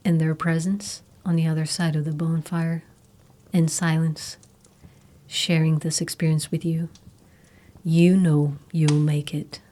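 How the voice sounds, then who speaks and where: A woman narrating a scripted guided meditation in a soothing, hushed, soft and breathy manner. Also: low voice, little pitch movement. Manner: soothing, slow, hushed delivery. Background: meditation music.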